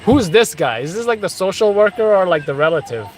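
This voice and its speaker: A man's voice: sits high, big pitch swings, a little fast. A man hosting a casual live commentary, chatting and explaining police radio codes while watching footage. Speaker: host.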